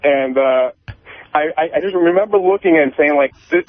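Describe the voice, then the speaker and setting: A man's voice: on the high side, moderate pace, no echo. Man on a tinny phone line, telling stories. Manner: rambling, profane, repeats himself. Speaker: radio show caller. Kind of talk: casual personal storytelling.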